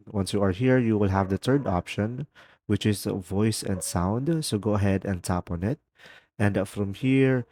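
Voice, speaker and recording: A man's voice: fairly low pitch, a little mellow, no echo, studio quality. A man hosting a casual how-to tutorial, talking the viewer through each step. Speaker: tutorial host.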